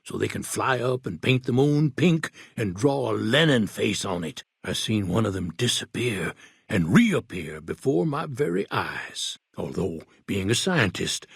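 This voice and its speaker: Paranoid old wasteland crank, husky voice, folksy drawl, swings between hushed whispers and loud outbursts, close and dry. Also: male voice, lowish voice, no room sound, heavily acted. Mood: rambling. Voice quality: folksy drawl, ungrammatical backwoods talk. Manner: suspicious, conspiratorial, jumps between whispers and outbursts. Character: paranoid rambling old male wasteland eccentric. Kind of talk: video game voice acting.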